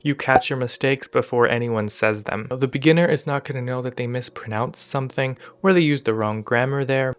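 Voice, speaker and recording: A man's voice: medium pitch, smooth. A young man hosting a language-learning video in a scripted but casual, self-deprecating explainer style.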